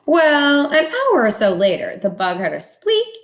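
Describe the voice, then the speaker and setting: A woman's voice: high-pitched, huge pitch swings, a little slow. A woman reading a children's storybook aloud expressively, like a schoolteacher.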